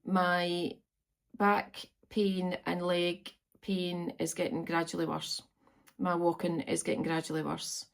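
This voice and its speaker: A woman's voice: lowish voice, flat delivery, slow, gravelly. A Scottish woman in her fifties chatting to camera from home, meandering with frequent ums. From Scotland. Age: 50s. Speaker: vlogger. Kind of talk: casual chat to camera. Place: at home on a farm, indoors.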